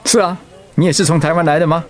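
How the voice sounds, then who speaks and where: An actor with a Taiwanese accent playing a gentle, ailing pastor and husband in a radio drama, earnest and reflective. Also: male voice, on the high side, steady tone, fast talker, lightly acted. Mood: earnest, youthful. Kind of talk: Mandarin radio drama acting.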